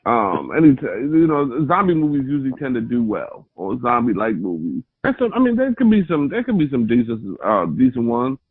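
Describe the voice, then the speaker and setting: A man on a podcast panel giving opinions in halting, filler-heavy speech. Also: mid-range voice, very animated, breathy edge, no echo. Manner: halting, many fillers and restarts. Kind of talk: casual chat. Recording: dry tinny phone-like sound.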